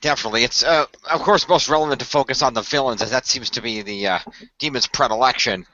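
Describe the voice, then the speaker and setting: A man playing a calm, formal mentor of a secret hero team in an amateur radio play, speaking in measured, hesitant, diplomatic phrases. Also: mid-range voice, no room sound, natural voice. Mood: measured, friendly. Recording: dry, dull lo-fi voice track.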